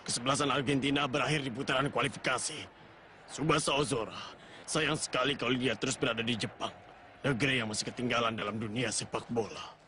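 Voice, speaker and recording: A man voicing a composed rival soccer figure in a cartoon, speaking in a brisk, pointed way. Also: mid-range voice, fairly even intonation, bright, forceful tone, slight background noise, close to an everyday voice. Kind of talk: dubbed cartoon acting.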